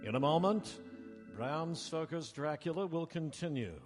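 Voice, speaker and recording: A male announcer presents a radio play in 1930s broadcast style. His voice sits fairly high, ringing through a large hall with music behind it. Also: expressive, unhurried.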